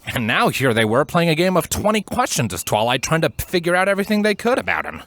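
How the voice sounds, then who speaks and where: A man reading a story aloud as narrator, in an expressive, measured, dramatic storytelling style. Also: on the high side, no echo. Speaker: fan fiction narrator. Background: background music.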